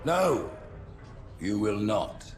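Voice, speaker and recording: An actor playing a stern alien religious leader in a video game, with a pompous, ceremonious and deliberate way of speaking. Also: male voice, lowish voice, big pitch swings, background noise, full character voice. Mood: stern judgement. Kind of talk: video game cinematic acting. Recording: music behind the voice.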